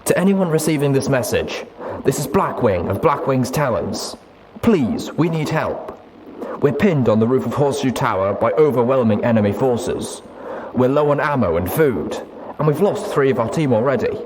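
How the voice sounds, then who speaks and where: A man's voice: medium pitch, expressive, moderate pace, background noise, pitched above the performer's own voice. A man voicing a young female griffin mercenary leader, pleading urgently in a military distress call from a story. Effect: looped radio message.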